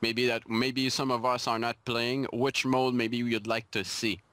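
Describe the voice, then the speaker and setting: A man from Canada co-hosting a casual gaming podcast, halting and full of restarts as he eagerly poses questions. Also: mid-range voice, little pitch movement, sharp tone. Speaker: podcast co-host. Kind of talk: casual group chat.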